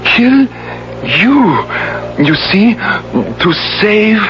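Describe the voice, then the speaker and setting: An actor playing a deranged, bitter, self-pitying killer in a radio drama, halting and repeating his words as he rambles. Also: high-pitched, big pitch swings, over music, heavily acted. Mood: anguished, unhinged. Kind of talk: 1970s radio drama acting. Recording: dull, hissy old radio recording.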